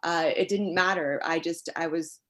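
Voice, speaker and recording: A middle-aged woman from the United States, an expert guest explaining her work conversationally in a thoughtful, halting, reflective way. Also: on the low side, fairly even intonation, a bit bright. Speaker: expert guest, sociologist and author.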